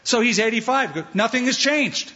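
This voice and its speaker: A man preaching a sermon as pastor, animated and playful, acting out the voices he quotes. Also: very high voice, moderate pace. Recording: dull sound in a large hall with long reverb.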